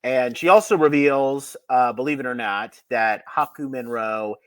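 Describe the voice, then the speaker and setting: A man's voice: mid-range voice, unhurried, a bit bright. A man co-hosting a podcast, recapping a story in casual conversation. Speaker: podcast co-host recapping an episode. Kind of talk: casual chat, plot recap.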